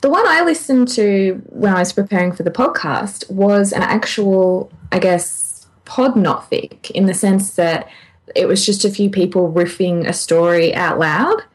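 A woman's voice: mid-range voice, a little slow. A woman co-hosting a podcast in casual conversation, candid, informal and a little self-deprecating. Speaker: podcast co-host.

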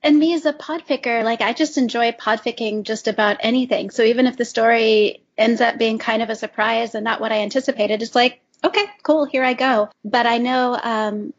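A woman's voice: fairly high pitch, steady tone, brisk, few pauses, highs cut off. A woman chatting informally and appreciatively on a podcast panel. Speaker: audiobook-style fan fiction reader on a panel. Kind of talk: casual chat.

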